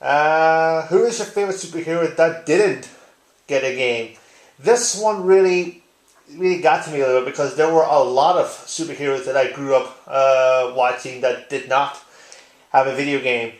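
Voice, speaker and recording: A man's voice: on the high side, normal speed, echoey room. A man chatting informally and bluntly, giving his answers in a casual vlog style. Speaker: video blogger. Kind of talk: casual tag-response vlog chat. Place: living room, indoors.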